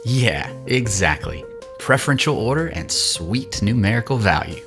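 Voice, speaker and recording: A man plays a cocky young male hustler with a lively, swooping delivery. He coaxes and wheedles as he explains, in audio drama style, with music playing clearly behind him. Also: on the low side, normal speed, natural voice. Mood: brisk, cocky. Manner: animated, coaxing explanations.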